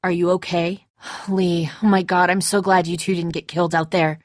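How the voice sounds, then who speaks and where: A woman's voice: on the low side, flat delivery, normal speed, no echo, clean studio sound, close to an everyday voice. An actress playing a tense, defensive woman who leads a group of survivors in a dramatic video game, blunt, confrontational and exasperated. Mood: worried.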